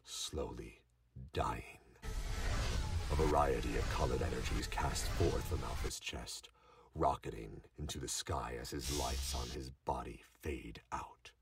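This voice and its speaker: A man's voice: very deep voice, fairly even intonation, measured pace. A man narrating a scripted audio drama, recorded at some distance from the microphone with noise behind him. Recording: distant mic with background noise.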